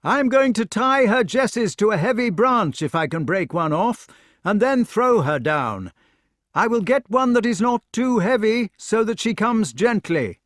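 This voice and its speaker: A man's voice: sits high, lively intonation, a little slow, a bit bright, dry recording, studio quality, voice pushed up. A man voicing an earnest, courteous knight in a storybook, formal and indignant as he protests. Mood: calling out.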